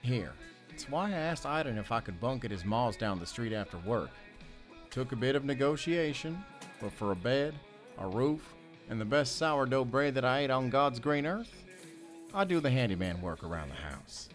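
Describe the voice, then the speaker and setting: A man playing a weary, soft-hearted ex-convict handyman in an audio drama, talking in a measured, folksy way with long pauses. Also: mid-range voice, very animated, soft background music, close to an everyday voice. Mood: guarded, reflective.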